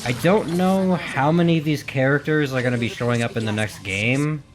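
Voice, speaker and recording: A man hosts a gaming reaction video in a medium-pitched voice. He talks informally and thinks aloud, speculating and checking himself as he goes. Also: brisk. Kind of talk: casual commentary, thinking aloud.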